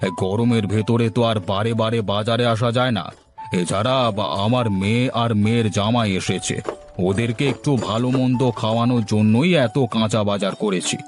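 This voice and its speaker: A man's voice: lowish voice, steady tone, brisk, soft background music, close to an everyday voice. A man voicing a blustering village landlord. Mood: bossy. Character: greedy pompous male village landlord, middle-aged. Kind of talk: cartoon voice acting.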